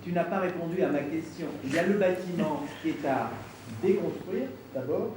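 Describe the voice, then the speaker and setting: A man's voice: fairly high pitch, expressive, moderate pace. A man speaking as a mayor in a council debate, persistently cutting in with questions and objections. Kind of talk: spontaneous interjections in a council debate. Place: indoor council meeting room. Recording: very echoey room, distant mic, faint noise.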